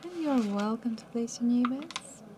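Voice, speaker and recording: A young woman working as a live casino card dealer, calling each round in a soft, mumbly, calm and polite way from a studio table. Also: on the high side, unhurried, noisy background. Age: young adult. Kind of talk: announcing card game rounds.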